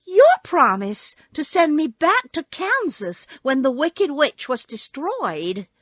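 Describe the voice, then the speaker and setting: A woman's voice: sits high, big pitch swings, slow, a bit bright, no echo, voice pushed up, exaggerated voice. A woman voicing an earnest, indignant young girl who exclaims and insists, in a storybook reading. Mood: demanding.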